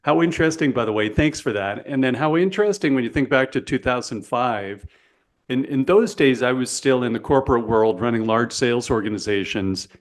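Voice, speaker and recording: A Canadian man hosting a podcast interview, upbeat and chatty, who tells stories from his own life. He keeps affirming his guest as they talk. His voice sits at a middle pitch for a man. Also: fairly quick. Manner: enthusiastic, storytelling, affirming. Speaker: podcast host.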